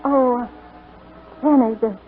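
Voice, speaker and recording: An actress playing a flustered, wry young bride-to-be in a 1940s radio drama. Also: female voice, fairly high pitch, steady tone, slow pace. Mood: wry, weak. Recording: tinny 1942 radio broadcast, faint music.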